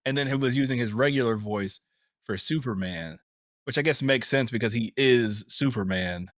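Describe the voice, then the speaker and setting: Podcast co-host, young man, lively and laughing, mid-pitch, dry close sound. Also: moderate pace, dry recording. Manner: animated, laughs often, swears casually. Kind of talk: casual chat.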